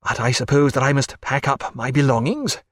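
A man voicing a courteous, measured male ship's doctor with formal speech. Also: medium pitch, expressive, no echo, clean studio sound, natural voice.

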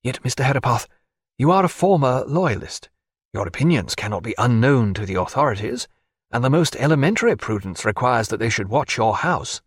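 A man voicing a guarded, formal physician who speaks in a measured, dry, precise way. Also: mid-range voice, very animated, breathy edge, dry recording. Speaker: doctor.

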